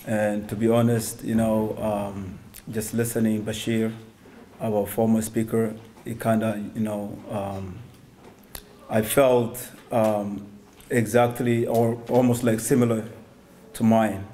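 Soft-spoken, dark-toned young man. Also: lowish voice, little pitch movement, slow pace, a little hiss or hum. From Somalia. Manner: hesitant, many ums and restarts. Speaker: guest speaker. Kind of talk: personal testimony talk. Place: synagogue hall, indoors. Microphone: stand mic.

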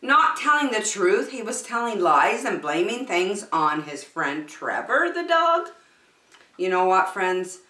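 An older woman hosting story time, reading a picture book aloud to young children with expressive, drawn-out emphasis. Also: mid-range voice, far from the mic. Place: small decorated indoor room. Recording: roomy echo.

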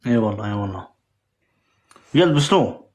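A man's voice: on the low side, expressive, normal speed, darkish tone, roomy sound. A man in his twenties on a phone livestream, bantering in street slang and swearing "Wallah" at nearly every turn. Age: 20s. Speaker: livestream guest.

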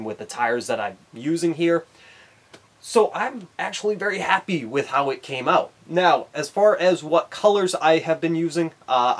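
Male hobby-channel host, thirties, mid-pitched, casual, a touch stuffy-nosed from a cold. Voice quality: slightly nasal from a head cold. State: has a head cold. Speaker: host of an RC truck build channel. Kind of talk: casual presenter talk to camera. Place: small indoor hobby workshop.

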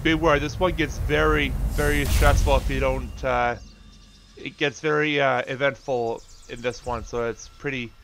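A man's voice: mid-range voice, fairly even intonation, normal speed, creaky, fairly bright tone, a little hiss or hum. A man giving casual, informal commentary as he plays a video game, reacting to what happens on screen. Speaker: gamer, video host.

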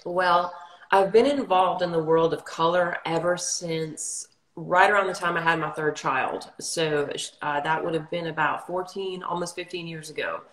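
A woman's voice: fairly low pitch, moderate pace. A middle-aged woman from New Orleans, a color consultant, telling her story in a casual livestream interview over a video call. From New Orleans, Louisiana. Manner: articulate, steady storytelling. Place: home room, indoors. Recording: echoey room on a video call.

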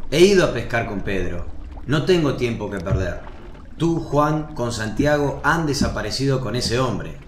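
A man's voice: medium pitch, lively intonation, moderate pace, close to an everyday voice. An actor playing a busy, doubting fisherman in a radio drama. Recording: roomy, faint noise, water ambience.

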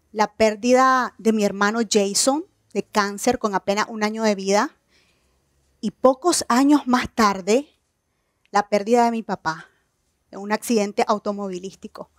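Woman, 30s, keynote speaker; earnest, personal delivery, fairly high voice, close dry mic. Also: no echo. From Nicaragua. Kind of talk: motivational stage talk. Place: outdoor evening stage event.

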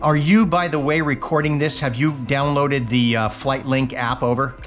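A man chatting over ham radio, relaxed and enthusiastic, with lots of fillers, from a small plane cockpit and his radio room. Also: mid-range voice, normal speed, over loud music. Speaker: private pilot and ham radio operator. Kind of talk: casual radio chat.

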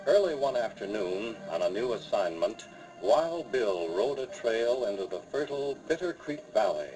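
A man's voice: medium pitch, big pitch swings, normal speed, close to an everyday voice, rough recording. A man with an American accent narrating a radio western as a dramatic, declamatory announcer. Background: music.

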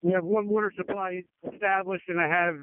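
A man's voice: high voice, flat delivery, a little fast, clear, resonant tone, dry recording. A man giving incident command reports over emergency two-way radio. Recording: tinny band-limited fire radio transmission.

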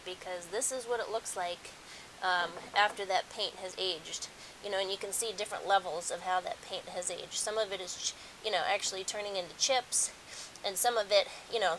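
A woman's voice: medium pitch, a bit bright, a little hiss or hum, rough recording. A middle-aged woman giving a casual, conversational how-to demonstration at home, explaining things as she goes. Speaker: DIY host. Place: carpeted room at home.

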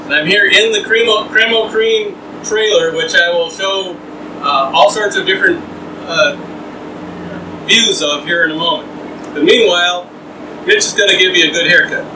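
A man's voice: high voice, normal speed. Chatty man interviewing from a barber chair. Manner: chatty, asks questions while getting a haircut. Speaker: host. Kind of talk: casual interview. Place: small trailer interior, windy outdoor event. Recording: roomy far-off sound, fan and wind noise.

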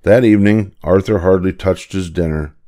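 A man's voice: deep voice, normal speed, dark tone. An older man reading a children's picture book aloud as narrator. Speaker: storybook reader.

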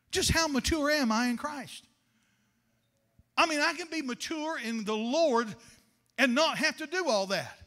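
An older man preaches from the pulpit, his voice very high and piercingly bright. He speaks fervently into a handheld microphone in a church sanctuary, warmly calling his listeners "honey" and building to shouted, rhythmic declarations. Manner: addresses congregation as honey. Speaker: pastor. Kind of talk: sermon.

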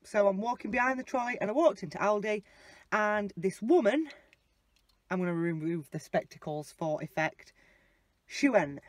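A woman's voice: mid-range voice, moderate pace, dry recording. Sweary woman in a car. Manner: animated, sweary, blunt. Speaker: vlogger. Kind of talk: casual storytelling. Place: inside a car. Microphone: phone camera mounted on the dashboard.

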